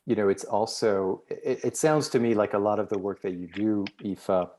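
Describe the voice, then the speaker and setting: A man hosting a podcast, speaking in a measured way with frequent restarts. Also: lowish voice, warm tone. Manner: measured, many restarts and hedges. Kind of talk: conversational podcast interview.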